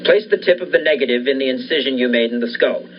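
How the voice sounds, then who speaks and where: A man's voice: mid-range voice, fast, lightly acted. A young man playing an obsessed, commanding scientist and surgeon in the style of a 1940s radio thriller. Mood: insistent, clinical. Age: young adult. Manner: commanding, insistent. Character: obsessed scientist surgeon bent on reviving his dead wife. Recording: dull lo-fi audio, faint noise.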